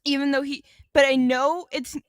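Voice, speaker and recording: Woman, higher-pitched voice, dry close sound, casual podcast co-host, rambling and jokey. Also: level tone, normal speed, dry recording. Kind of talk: casual, joking podcast chat.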